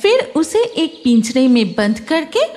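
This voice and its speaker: A woman's voice: high-pitched, very animated, unhurried, darkish tone, soft background music. A woman narrating a scripted fairy tale for children as the storyteller.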